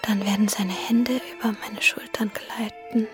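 An actress playing a dreamy, infatuated young woman in a radio play, murmuring her inner thoughts in a breathy, intimate way. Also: mid-range voice, level tone, unhurried, natural voice. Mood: dreamy longing. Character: dreamy, infatuated young woman, inwardly narrating a longed-for tryst. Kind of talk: whispered radio-play monologue. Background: violin music.

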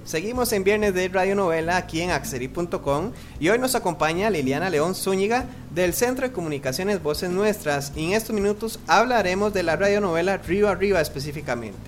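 A woman's voice: lowish voice, animated, a little fast, hardly any pauses. A woman hosting a radio program, introducing a guest and asking questions. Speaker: radio host. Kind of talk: radio interview presenting.

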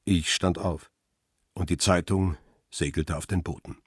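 An actor playing a brooding, middle-aged paparazzo who narrates his own story in a measured, intimate way in a radio drama. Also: deep voice, fairly even intonation, breathy edge, no echo, studio quality. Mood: hesitant, intimate. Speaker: narrator and lead character. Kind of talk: radio drama, literary first-person narration.

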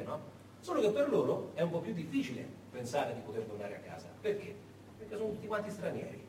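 A man's voice: high voice, darkish tone. A man narrating wartime history as a dramatic stage storyteller, turning to listeners with rhetorical questions. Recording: echoey room, distant mic, faint noise.

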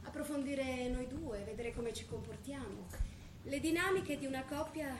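An actress playing a brisk, self-absorbed TV journalist wife in an Italian stage comedy, theatrical and insistent. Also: female voice, sits high, close to an everyday voice. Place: theatre stage, recorded from afar. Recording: echoey distant theatre recording, clear noise.

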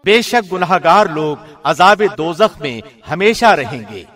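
A man reads a scripture translation formally, with the measured diction of a radio presenter. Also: on the high side, expressive, a bit bright. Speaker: translation reader, radio host.

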